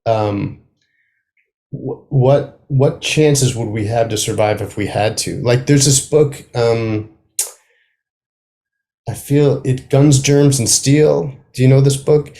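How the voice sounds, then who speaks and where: Man talking thoughtfully in halting phrases. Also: mid-range voice, warm tone. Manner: halting, thoughtful, trailing off mid-sentence. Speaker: playwright, interview guest. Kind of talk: casual conversational interview. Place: remote video call.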